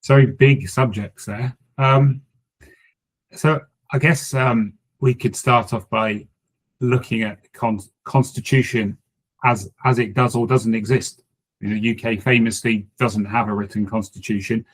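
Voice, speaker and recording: A man's voice: medium pitch, fairly even intonation, a little slow, husky. A man hosting a podcast, asking long, tentative questions. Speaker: podcast host. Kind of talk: podcast interview.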